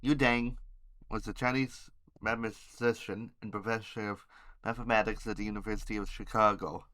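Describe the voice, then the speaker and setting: A man hosting an amateur news podcast, reading headlines haltingly with informal asides and stumbles over words and names. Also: lowish voice, fairly even intonation, sharp tone.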